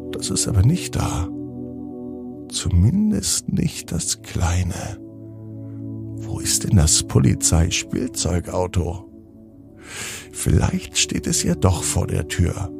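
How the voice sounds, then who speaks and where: A man tells a children's bedtime story in a low, gravelly voice. His delivery is gentle and slow with deliberate pauses, and there is soft music behind him. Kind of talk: scripted bedtime story narration.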